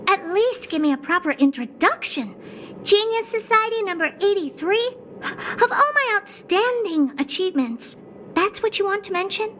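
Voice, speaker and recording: A woman's voice: very high-pitched, expressive, normal speed, fairly bright tone, a little hiss or hum. A woman playing a haughty, doll-like genius scientist in a video game, condescending and blunt. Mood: indignant. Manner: condescending, smug, blunt, bored drawl turning to keen curiosity. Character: haughty, doll-like female genius scientist who owns a space station. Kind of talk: video game cutscene acting. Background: music.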